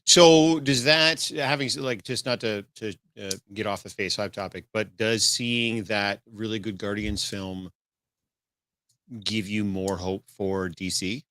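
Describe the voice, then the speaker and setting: A man's voice: fairly low pitch, fairly even intonation, unhurried, a bit bright, dry recording. A man co-hosting a podcast, chatting casually, rambling with many restarts and laughs. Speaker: podcast co-host. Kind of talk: casual chat.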